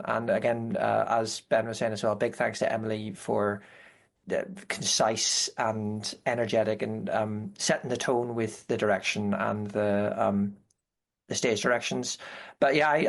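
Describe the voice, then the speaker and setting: A man's voice: fairly low pitch, steady tone, measured pace, gravelly. A man speaking as an actor, giving his thoughts in a casual discussion. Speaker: actor, table read participant.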